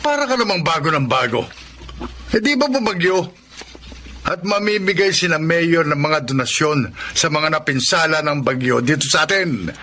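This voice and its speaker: A man's voice: fairly high pitch, lively intonation, moderate pace, pushed and bright, a little hiss or hum. A Filipino man playing a corrupt, hot-tempered middle-aged village captain in a radio drama, blustering and domineering. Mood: bossy.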